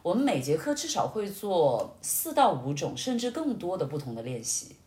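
A woman sharing experiences in casual conversation, explaining things in a reflective, expressive way. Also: fairly low pitch, normal speed. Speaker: podcast guest, amateur acting student.

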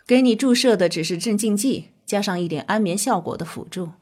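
An actress playing a composed research doctor in a science fiction radio drama, speaking in a calm, formal, matter-of-fact way. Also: female voice, medium pitch, fairly quick, natural voice. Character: composed female research doctor, frank and clinical. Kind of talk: Chinese sci-fi radio drama acting.

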